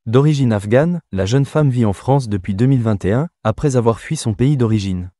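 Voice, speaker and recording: A man's voice: medium pitch, expressive, brisk, mellow, no room sound, clean studio sound. A man newsreader presenting a scripted news bulletin.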